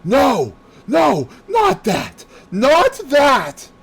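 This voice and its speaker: A man's voice: extremely high pitch, huge pitch swings, very slow, creaky, voice pushed up, exaggerated voice. A man voicing a frightened, distraught woman in a mystery audiobook, pleading as he goes. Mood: panicked.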